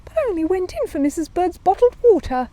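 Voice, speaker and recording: Woman voicing an indignant little bear, lively pitch. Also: fast talker. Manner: hotly exclaiming, plaintive. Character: polite, indignant, worried young male bear. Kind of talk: children's storybook character voice.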